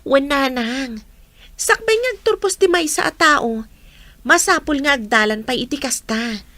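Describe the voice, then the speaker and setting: An earnest young student daughter with an Ilocano accent. She speaks high and bright in a close, dry radio drama recording. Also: lively intonation, measured pace, no room sound, exaggerated voice. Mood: explaining.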